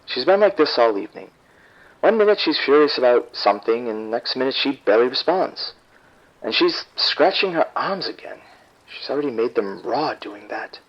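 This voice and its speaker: A man's voice: medium pitch, very animated, moderate pace. A man playing a curt, defensive husband on a dull phone line. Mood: exasperated. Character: irritated, proud husband and father on the phone. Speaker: caller. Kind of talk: audio drama acting.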